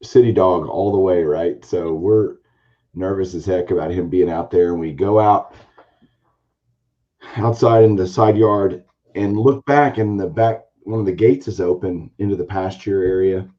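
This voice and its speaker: A man hosting a casual card-collecting chat show, telling a long story in a rambling, animated way with a hoarse, raspy voice. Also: low-pitched, measured pace, highs cut off. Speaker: podcast host, baseball card collector.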